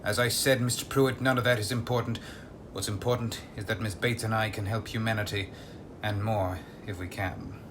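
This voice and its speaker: Secretive gentleman investigator played by a man, noisy recording. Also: lowish voice, steady tone, normal speed, natural voice. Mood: guarded. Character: secretive, composed gentleman investigator, politely authoritative. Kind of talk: audio drama acting.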